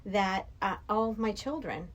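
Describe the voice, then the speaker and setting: A middle-aged woman from Massachusetts talking casually and a little haltingly as a podcast guest. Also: mid-range voice, animated. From Massachusetts, USA. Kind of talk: casual chat.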